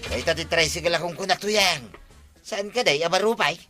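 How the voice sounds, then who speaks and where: An actor playing an excitable, insistent husband in a regional radio comedy drama, with music behind him. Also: male voice, on the high side, moderate pace, lightly acted. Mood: insistent, excited. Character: excitable husband eager to buy a tricycle.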